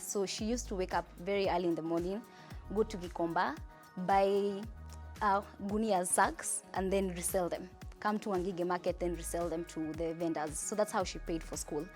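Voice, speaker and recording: A young Kenyan woman with a Kenyan accent, telling her life story in a chatty, animated interview. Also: on the low side, normal speed, faint music behind. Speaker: entrepreneur.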